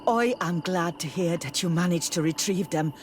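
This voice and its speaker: A woman playing a guarded, protective village mother in a video game, earnest, worried and deliberate in her plain speech. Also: on the low side, noisy background, natural voice. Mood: worried, resigned. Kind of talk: video game dialogue acting.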